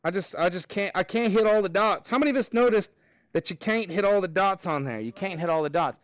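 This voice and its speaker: High-voiced Texan math teacher. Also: male voice, expressive, a little fast, no room sound. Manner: chatty, joking asides to students. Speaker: middle school math teacher. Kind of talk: classroom teaching. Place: school classroom.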